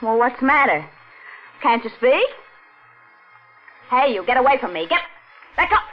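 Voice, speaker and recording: A woman's voice: high voice, sing-song, normal speed. An actress playing a terrified young woman victim in a 1940s radio melodrama. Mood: panicked. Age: young adult. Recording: dull old radio with music.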